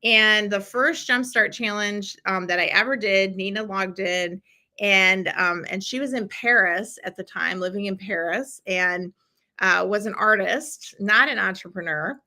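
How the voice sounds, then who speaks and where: A middle-aged woman hosting a live-stream challenge, chatting warmly with viewers and encouraging them, with lots of ums and restarts. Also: mid-range voice, a little slow. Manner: chatty and encouraging, with many fillers. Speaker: podcast host running a live challenge. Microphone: streaming from a computer.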